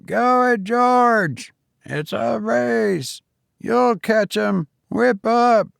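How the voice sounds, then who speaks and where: A man's voice: very high-pitched, extremely slow, gravelly, a bit bright, clean tone, dry recording, clean studio sound, pitched above the performer's own voice, full character voice. A man voicing rowdy cabmen and loafers cheering in a story. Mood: excited cheering.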